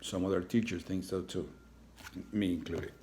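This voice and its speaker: Lower-pitched man reading a children's story. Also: steady tone, normal speed, mellow. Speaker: storybook reader. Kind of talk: scripted children's story narration.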